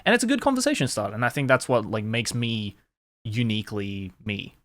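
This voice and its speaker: Young aussie guy hosting a chat, talks loose and animated, lots of likes, no room sound. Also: lowish voice, fairly quick, no room sound. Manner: candid and animated, swears freely, lots of "like". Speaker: host. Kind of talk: casual interview chat.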